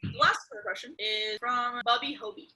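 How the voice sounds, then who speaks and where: A woman's voice: a little high, moderate pace, a crowd in the background, low-quality recording. A young American woman in her early twenties co-hosting a casual Q&A, rambling and candid with lots of "like". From United States. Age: early 20s. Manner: rambling, filler-heavy, candid. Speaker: YouTube co-host. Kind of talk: casual Q&A chat.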